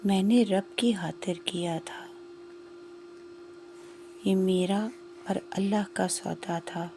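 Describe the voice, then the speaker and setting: A woman voicing a humble, devout poor cobbler in a story, speaking gently and earnestly. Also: medium pitch, fairly even intonation, slight background noise, natural voice. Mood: gentle, firm.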